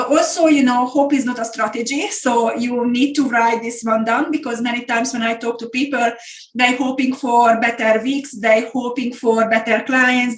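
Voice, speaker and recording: A middle-aged woman with a Hungarian accent gives a business masterclass, earnest and encouraging and full of fillers. Her voice sits fairly high. The room rings with noticeable echo. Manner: earnest, encouraging, with frequent fillers and non-native grammar. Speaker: business coach. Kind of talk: webinar masterclass presentation.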